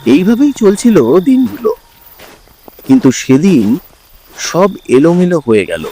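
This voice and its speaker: Slow, swooping male horror narrator. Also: high voice, big pitch swings, dark tone. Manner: suspenseful, deliberate, short pause-broken phrases. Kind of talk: dramatic first-person scripted narration.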